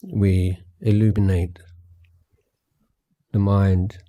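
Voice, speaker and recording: A man guiding a meditation as a teacher, speaking gently and in a measured way with long pauses. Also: low voice, level tone. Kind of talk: guided meditation talk at a retreat.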